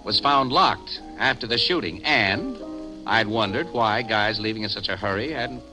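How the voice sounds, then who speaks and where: An American actor playing a quick-witted, wisecracking crime photographer in a 1950s radio drama, with a brisk, slangy delivery. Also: male voice, lowish voice, lively intonation, music in the background, lightly acted, low-quality recording. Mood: explaining calmly. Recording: old 1950 radio broadcast recording.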